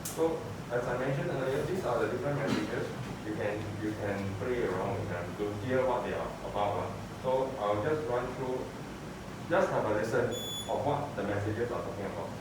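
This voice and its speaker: A man giving a hands-on spoken demonstration as an instructor, explaining in a halting, repetitive way. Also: medium pitch. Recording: echoey room, distant mic, noisy background.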